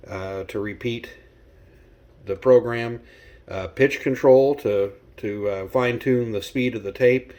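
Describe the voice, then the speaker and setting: A man with a lowish voice hosts a hands-on product walkthrough. He talks in a conversational, explanatory way, as in a casual review video. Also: darkish tone. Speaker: host of a vintage electronics review channel.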